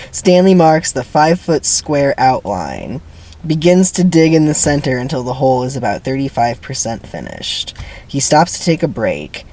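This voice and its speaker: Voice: medium pitch, a little hiss or hum. A narrator giving a dramatic script reading with wry commentary, in a theatrical manner.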